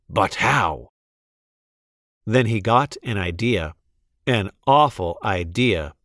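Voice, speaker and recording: A man reading a children's story aloud with dramatic, deliberate, theatrical emphasis. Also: medium pitch, dry recording, studio quality. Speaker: storybook reader. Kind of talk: expressive children's read-aloud narration.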